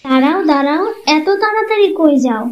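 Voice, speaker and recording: A woman's voice: high voice, lively intonation, a little fast. A woman voicing a sly, scheming young wife in a cartoon, sweet-talking and sarcastic. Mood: pleading. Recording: muffled lo-fi audio.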